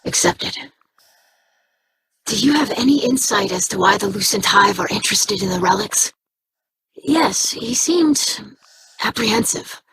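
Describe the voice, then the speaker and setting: Young alien scribe, earnest and polite, formal, a bit of hiss under it. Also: female voice, mid-range voice, lively intonation, measured pace, pauses often, fairly bright tone. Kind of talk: video game voice acting.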